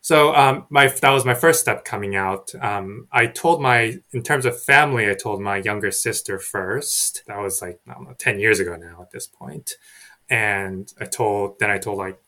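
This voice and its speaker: Bright-voiced young man, hesitant. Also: on the low side, measured pace, vocal fry. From Korea. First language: Korean. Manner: soft-spoken, hesitant, many fillers and restarts. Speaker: podcast guest. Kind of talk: casual interview chat.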